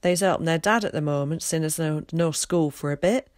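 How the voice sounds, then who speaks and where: A woman's voice: low voice, brisk, no room sound, lightly acted. A friendly village mother from a storybook, voiced by a woman reader. She chats busily in rustic dialect, and the recording is close and dry.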